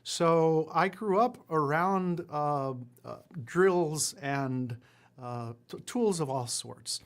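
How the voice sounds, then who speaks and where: A man's voice: fairly high pitch, slow pace. A man in his forties, raised in Puerto Rico with Spanish as his first language, telling his story in a casual interview with lots of fillers and self-deprecating asides. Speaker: guest, lawyer and entrepreneur.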